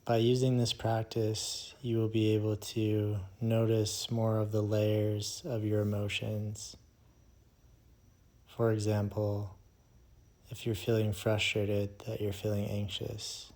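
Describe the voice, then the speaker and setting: Slow, low, mellow male meditation guide. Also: completely flat delivery. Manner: slow, soothing, hushed delivery with long pauses.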